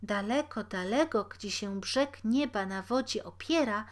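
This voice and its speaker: A woman's voice: medium pitch, measured pace, lightly acted. A woman voicing a humble, sad, pious poor old woman in a storybook tale, speaking meekly and deferentially. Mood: earnest recollection. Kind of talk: storybook character reading.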